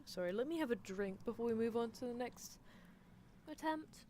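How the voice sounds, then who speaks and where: A woman's voice: on the high side, animated, normal speed, dark tone, slight background noise. A woman chatting casually as the host of an ASMR livestream. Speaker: ASMR roleplay streamer.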